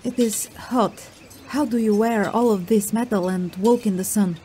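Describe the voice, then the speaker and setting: A woman's voice: mid-range voice, normal speed, dark tone, slight background noise, close to an everyday voice. An actress playing a proud, feisty middle-aged mother in an audiobook drama, with indignant, rather formal phrasing. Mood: complaining. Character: proud, feisty mother newly turned fighter.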